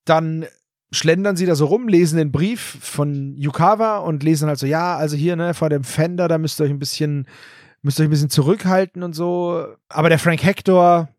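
A man's voice: fairly high pitch, moderate pace, darkish tone, no room sound, studio quality. Chatty man recapping a story. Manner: rambling plot retelling, loose and chatty. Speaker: podcast co-host. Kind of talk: casual episode recap.

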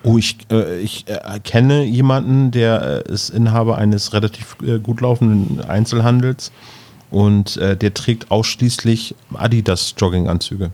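A man co-hosting a casual chat, speaking in somewhat halting phrases. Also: fairly low pitch, darkish tone. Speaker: podcast co-host.

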